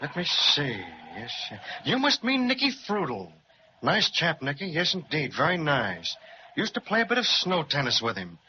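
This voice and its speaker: A man's voice: on the high side, wildly swooping pitch, normal speed, slight background noise. An actor playing a pompous, forgetful snowman who rambles and repeats himself, in an old radio serial. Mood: rambling. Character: jolly snowman directing traffic, rambling and forgetful. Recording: muffled 1930s radio recording.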